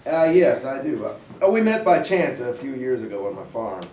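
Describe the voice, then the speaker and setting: A man in a staged play reading, playing a gruff but decent Confederate sergeant and farmer with folksy, halting rural speech. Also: medium pitch, big pitch swings, a little mellow, natural voice. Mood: calm, subdued. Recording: echoey room from far off, faint noise.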